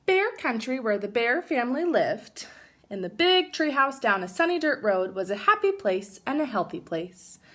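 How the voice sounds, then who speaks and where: A woman reads a children's story aloud like a teacher to young listeners. Her voice is bright and a little high, with very lively rises and falls. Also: normal speed. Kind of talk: animated children's storybook narration.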